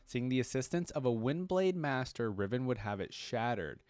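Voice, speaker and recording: A man giving casual reaction commentary as an online creator, reading wiki text aloud and thinking out loud about it. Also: mid-range voice, sing-song, moderate pace, clear, resonant tone, no room sound. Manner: thinks aloud, interjecting between read passages.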